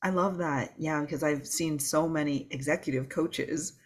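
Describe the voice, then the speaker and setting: A woman hosting a podcast, speaking in a warm, encouraging way. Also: sits low, fairly even intonation, moderate pace. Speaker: podcast host. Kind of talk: podcast interview.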